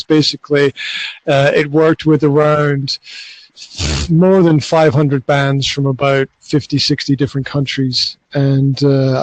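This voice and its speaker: A man's voice: mid-range voice, dry recording, rough recording. A middle-aged man chatting casually as a podcast host, rambling with restarts and acted-out quotes, talking into a phone on his balcony. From Scotland. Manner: relaxed, rambling, with frequent restarts and quoted asides. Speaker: podcast host and business coach. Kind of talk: casual conversation over an app. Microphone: mobile phone.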